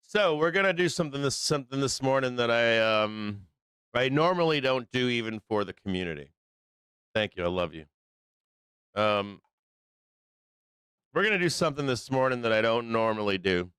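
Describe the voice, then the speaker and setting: A hoarse middle-aged man with a Midwestern American accent hosting a live trading stream, rambling casually, swearing constantly and filling pauses with ums. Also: mid-range voice, lively intonation, a bit bright, no room sound, clean studio sound. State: hoarse, losing his voice.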